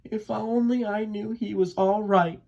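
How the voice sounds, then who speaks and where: Casting: man to play a sweet, brave young duckling son, lifted pitch, earnest and comforting storybook manner. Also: fairly even intonation, measured pace, creaky, darkish tone. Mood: tender, wistful. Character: gentle young boy duckling, brave and reassuring.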